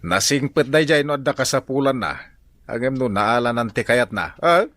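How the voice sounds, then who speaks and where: A man's voice: medium pitch, animated, normal speed, fairly bright tone, lightly acted. An actor in a radio drama playing a practical middle-aged village father who grumbles about lending money. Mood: grumbling. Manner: grumbling and matter-of-fact.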